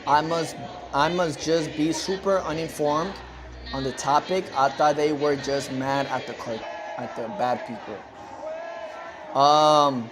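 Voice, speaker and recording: A man's voice: medium pitch, noisy background. A man whose first language is Spanish, hosting a casual livestream and talking loosely to his chat with lots of fillers. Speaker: livestream host.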